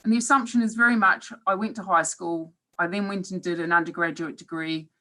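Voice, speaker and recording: Bright-voiced New Zealand woman lecturing. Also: lowish voice, steady tone, a little fast. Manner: earnest, reflective, with hesitant fillers. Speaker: professor giving a webinar talk. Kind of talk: presentation talk.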